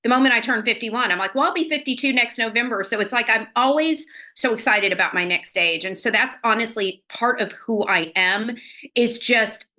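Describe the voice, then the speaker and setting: A bright, forward-sounding woman in her fifties talks as a podcast guest. She is warm and effusive, quick to laugh as she tells her story in easy conversation. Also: on the high side. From Louisiana. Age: 50s. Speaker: guest, fitness expert. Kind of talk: casual interview chat.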